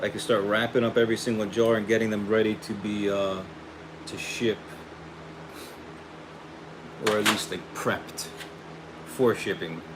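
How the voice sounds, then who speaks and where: A man hosting a casual livestream and pitching his own hair product, upbeat and friendly, saying he is losing his voice. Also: normal speed. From United States. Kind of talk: casual livestream chat, reading viewer questions. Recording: steady air conditioning hum behind.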